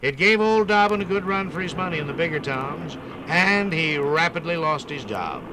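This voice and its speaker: A man narrating a scripted 1950s radio program in a theatrical, folksy storytelling manner with drawn-out emphasis. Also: fairly high pitch, animated, noisy background.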